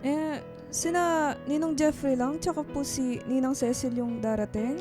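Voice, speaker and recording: A woman's voice: high voice, steady tone, unhurried, warm tone, faint music behind. A woman playing a thirteen-year-old daughter in a Filipino radio drama, childlike, whiny and pleading but cheerful. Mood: giddy, bashful. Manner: childlike, whining, polite pleading.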